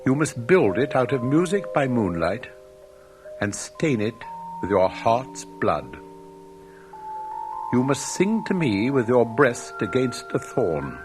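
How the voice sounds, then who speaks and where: A man voicing a sorrowful old rose tree in a storybook, speaking with slow, solemn, theatrical delivery. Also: medium pitch, very animated, over music. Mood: grave, ominous.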